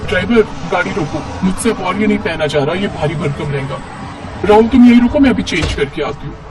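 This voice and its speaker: A man's voice: high voice, lively intonation, a little fast, crowd noise. A man voicing a brazen, pampered young modern bride, talking in a breezy, entitled way sprinkled with English. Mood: tired, demanding.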